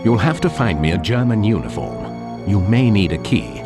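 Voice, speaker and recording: An actor playing an irascible British colonel in a video game, brusque, commanding and exasperated. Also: male voice, fairly low pitch, lively intonation, normal speed, close to an everyday voice. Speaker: imprisoned colonel. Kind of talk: video game cutscene acting. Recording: loud music behind the voice.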